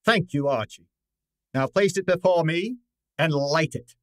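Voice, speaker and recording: A man playing a commanding, fussy detective genius in an old-time radio drama, speaking formally and deliberately with pompous authority. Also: on the high side, no room sound, studio quality, lightly acted. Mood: smug, methodical.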